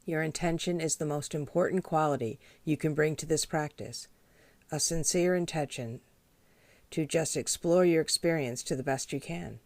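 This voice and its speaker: A woman leading a guided meditation as a teacher, speaking in a gentle, measured, instructional way. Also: sits low, dry recording. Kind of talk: guided body scan meditation.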